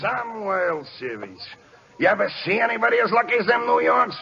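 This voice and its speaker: A man's voice: high-pitched, big pitch swings, fairly quick, gravelly, music in the background, exaggerated voice. A man playing a brash, good-hearted, slangy big league ballplayer in an old-time radio comedy. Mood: grumbling, evasive. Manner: streetwise slang, wisecracking. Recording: noisy muffled old radio broadcast recording.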